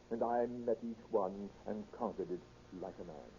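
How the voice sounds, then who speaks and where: An actor playing a pompous, moralizing reform school superintendent in a 1930s radio drama, preachy and long-winded. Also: male voice, lowish voice, moderate pace, warm tone, natural voice. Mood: self-satisfied. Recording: dull, noisy 1930s radio recording.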